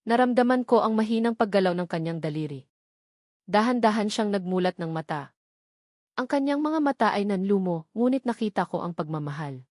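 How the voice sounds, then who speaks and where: A woman with a Filipino accent reading a scripted first-person dramatic story in a solemn, measured delivery. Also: medium pitch, expressive, a little mellow, no room sound, clean studio sound. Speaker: audio drama narrator.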